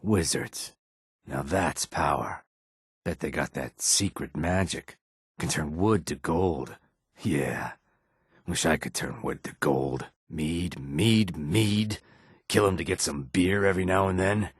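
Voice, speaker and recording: A man voicing a reptilian Argonian assassin and outlaw in a video game, with a rasping, husky voice. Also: rumbling low, slow pace, no echo, clean studio sound, heavily acted. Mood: muttering, grumbling. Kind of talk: video game character dialogue.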